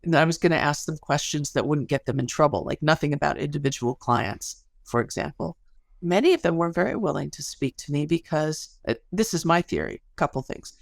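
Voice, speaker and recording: A woman with a noticeably creaky voice talks as an interview guest. She explains her research like a storyteller, with casual asides and colorful phrasing. The sound is dry, with no room around it. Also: low voice, expressive, brisk, no room sound.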